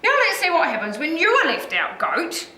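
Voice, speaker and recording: A woman voicing an excitable, easily exasperated man from a children's storybook, in a bellowing, exclamatory way. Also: very high-pitched, normal speed, pitched above the performer's own voice, heavily acted. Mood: angry, bossy. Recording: echoey room with faint noise.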